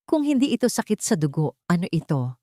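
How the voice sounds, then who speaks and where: A woman's voice: fairly low pitch, big pitch swings, fairly quick, darkish tone, dry recording, clean studio sound. A woman voicing a troubled, earnest male doctor in a dramatic story, speaking in an intense, questioning manner. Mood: puzzled, intense. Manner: intense, questioning, muttering to himself.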